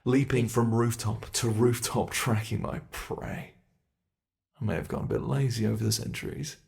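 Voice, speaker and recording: A man voicing a sadistic, playful ancient monster hunting human prey in an ASMR roleplay, taunting theatrically and slipping into breathy whispers. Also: on the low side, steady tone, moderate pace, a little mellow. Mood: excited taunting. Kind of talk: ASMR roleplay, scripted monologue.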